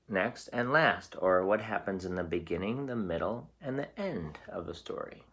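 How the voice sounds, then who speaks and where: A man's voice: deep voice, fairly bright tone, a little hiss or hum. A man reading a storybook aloud as a teacher, explaining things simply for young children.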